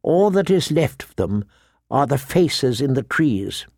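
A man's voice: mid-range voice, sing-song, unhurried, creaky, a little mellow, dry recording, studio quality, heavily acted. A man doing a sober, instructive scholar.

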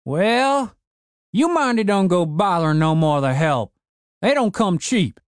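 Male reader as grumpy old rural man, gruff drawl, pitched up, slow. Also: sing-song, no echo, studio quality. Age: older. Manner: gruff, drawling backwoods grumbling. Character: cranky old rural man who keeps zombies.

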